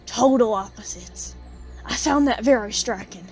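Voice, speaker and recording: A woman's voice: a little high, unhurried, darkish tone. A woman playing a poor, plain-spoken country widow, bitter and unsentimental, in an audio drama. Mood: grudging.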